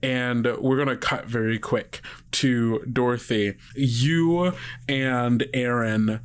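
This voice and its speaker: A man running a tabletop game as game master, improvising vivid narration in a halting, conversational way. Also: medium pitch, clean tone.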